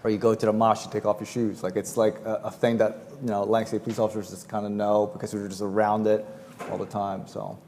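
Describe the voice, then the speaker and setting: A man's voice: fairly low pitch, completely flat delivery, quick pace, darkish tone, long reverb tail. A man whose first language is Chinese, a police officer speaking casually with many fillers on a panel. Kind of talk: panel discussion. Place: university forum hall.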